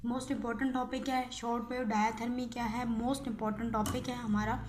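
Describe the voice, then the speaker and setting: A woman's voice: fairly high pitch, little pitch movement, fairly quick, noisy background. A woman giving an informal explanatory lecture, repeating key phrases and often checking in with short tag words. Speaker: physiotherapy lecturer.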